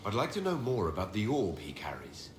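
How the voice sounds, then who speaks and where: An actor playing a composed, commanding leader in a fantasy video game, asking direct questions. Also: male voice, lowish voice, huge pitch swings, brisk. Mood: inquiring, composed. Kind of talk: video game dialogue acting. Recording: roomy echo, clear background noise.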